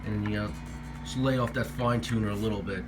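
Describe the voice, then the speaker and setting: A man casually explaining how a guitar detuner works at his workbench, relaxed and offhand, with fillers. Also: lowish voice, brisk. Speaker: guitarist demonstrating gear. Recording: guitar playing under the voice.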